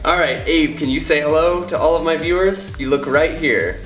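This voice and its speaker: A man's voice: on the high side, normal speed, fairly bright tone. A man from Iowa with an American accent, chatting casually as a vlog host in a playful, teasing manner. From Iowa, United States. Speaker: vlogger and host. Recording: roomy echo, music behind.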